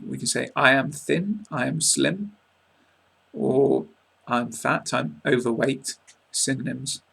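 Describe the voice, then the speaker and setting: English man teaching, gravelly bright voice. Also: medium pitch. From England. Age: 20s. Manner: clear, measured teaching delivery with casual asides. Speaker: accent teacher. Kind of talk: online lesson to camera. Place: small room indoors. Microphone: webcam at close range.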